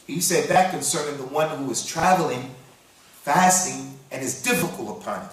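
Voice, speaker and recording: A man from the United States giving a sermon, reciting Arabic verses quickly and then explaining them in English. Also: a little high. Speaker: Islamic preacher. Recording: very echoey hall, voice from far off.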